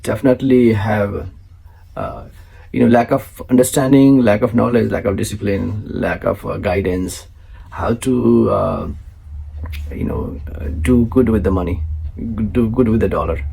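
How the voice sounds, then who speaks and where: Gravelly-voiced man hosting. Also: fairly low pitch, mellow. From South Asia. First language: Maithili. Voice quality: gravelly, husky. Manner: halting, many fillers and restarts. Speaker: host. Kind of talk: solo show introduction.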